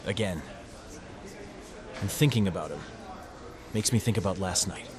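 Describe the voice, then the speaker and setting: Man playing wry, low-pitched teenage boy. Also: moderate pace, a crowd in the background, close to an everyday voice. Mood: wry narrating. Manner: dry, sardonic inner monologue. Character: wry, awkward teenage human boy narrating his life. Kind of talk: first-person visual novel narration and dialogue.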